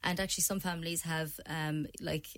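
A woman's voice: deep voice, fairly even intonation, fairly quick, a bit bright. An Irish woman giving her opinions as a panel guest in a chatty, colloquial, meandering way. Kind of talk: casual discussion, opinion.

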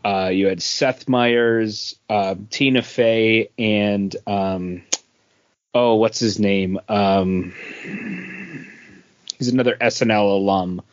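A man co-hosting a podcast, telling anecdotes in an informal, halting, conversational way. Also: fairly low pitch, fairly even intonation. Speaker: podcast co-host. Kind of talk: casual chat and anecdotes. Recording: dry but dull lo-fi audio.

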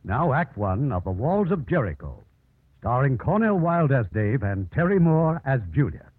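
A man's voice: mid-range voice, very animated, normal speed. A man hosting and narrating a 1950s radio drama in scripted style. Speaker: host and narrator. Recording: narrow old radio broadcast with music.

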